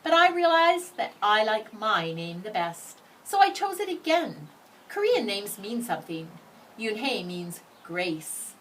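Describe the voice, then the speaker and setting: A woman voicing a gentle, thoughtful schoolgirl in a storybook, speaking earnestly and carefully. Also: medium pitch, very animated, measured pace, recorded from a distance, a little hiss or hum, low-quality recording. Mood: sincere, resolved. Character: gentle young Korean immigrant schoolgirl, thoughtful, proud of her name.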